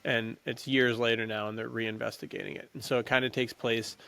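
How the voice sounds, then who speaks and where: Guy podcast host w lots of vocal fry, just chatting movies, slangy n halting. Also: medium pitch, little pitch movement, pushed and bright. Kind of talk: casual movie chat.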